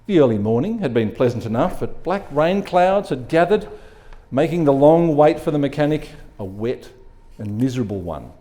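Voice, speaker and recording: A man reading a short story aloud as an expressive narrator at a live event. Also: medium pitch, moderate pace. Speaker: story reader.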